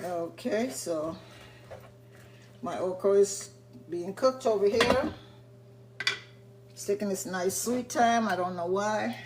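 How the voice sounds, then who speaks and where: A woman hosting a vegan cooking show, chatty and explaining each step as she cooks, her voice a little raspy from talking a lot. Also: medium pitch, slow pace, long pauses, heavy background noise. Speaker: vegan cooking channel host. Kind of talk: casual cooking demonstration. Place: home kitchen with food sizzling. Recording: kitchen with loud sizzling food. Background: frying and sizzling sounds.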